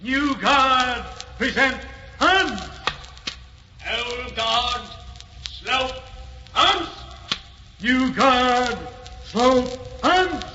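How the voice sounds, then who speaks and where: An actor playing a palace guard calling drawn-out military drill commands in an old-time radio drama. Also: male voice, very high-pitched, noisy background, heavily acted. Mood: commanding. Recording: echoey, distant, muffled old radio recording.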